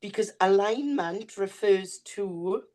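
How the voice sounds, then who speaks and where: A woman's voice: medium pitch, animated, takes it slowly, a little mellow. A woman with a Welsh accent co-hosting a podcast in a down-to-earth, chatty way, her voice a little worn. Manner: chatty, down-to-earth, speaks straight to listeners. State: voice going hoarse. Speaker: podcast co-host and reader. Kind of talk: casual chat.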